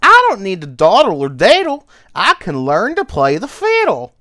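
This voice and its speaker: A man voicing a shy, sad young female cricket who speaks timidly and haltingly, sometimes through tears. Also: very high-pitched, very animated, bright, forceful tone, voice pushed up, heavily acted. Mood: eager.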